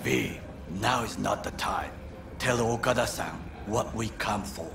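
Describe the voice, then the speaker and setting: Gravelly, stern Japanese ex-bodyguard. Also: male voice, lowish voice, measured pace. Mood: formal. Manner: formal, terse, grave. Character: stern, formal Japanese male ex-bodyguard, proud and weary. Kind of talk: dramatic game dialogue. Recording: loud background vehicle and ambience noise.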